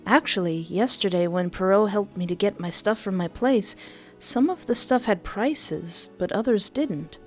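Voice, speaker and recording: A woman's voice: unhurried, soft background music. A woman reading a visual novel aloud, voicing the narration and the dialogue expressively. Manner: expressive, shifts pitch for each character.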